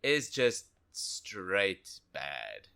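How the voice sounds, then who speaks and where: A man co-hosting a casual video game review podcast, giving blunt opinions in short, halting phrases. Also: low-pitched, huge pitch swings, vocal fry, pushed and bright, clean tone. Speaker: podcast co-host.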